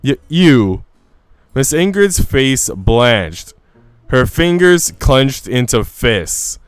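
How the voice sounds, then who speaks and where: A man's voice: medium pitch, very animated, drawn-out words, clear, resonant tone, dry recording. A man live streaming, switching between casual slangy chat and reading visual novel narration aloud. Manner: slangy, informal.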